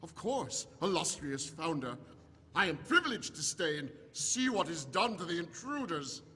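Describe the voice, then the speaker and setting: A man's voice: on the high side, big pitch swings, moderate pace, pushed and bright, slightly rough, heavily acted. A man playing a villain's fawning, agitated male underling who speaks formally, in a live audio drama. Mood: deferential, indignant. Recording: echoey hall, noisy live stage recording.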